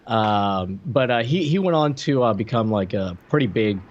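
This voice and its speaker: A man's voice: lowish voice, lively intonation, normal speed. Wry male podcast co-host, slightly muffled. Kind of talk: casual chat about film and television.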